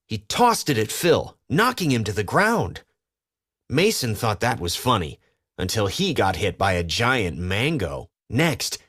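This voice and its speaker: A man's voice: medium pitch, a bit bright. A man reading a children's storybook as a scripted narration.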